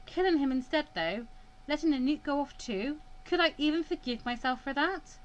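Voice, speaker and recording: A woman voicing a distraught, defiant young female student in halting, emphatic dialogue from a visual novel. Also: high-pitched, animated, muffled recording, pitched above the performer's own voice. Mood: anguished, torn.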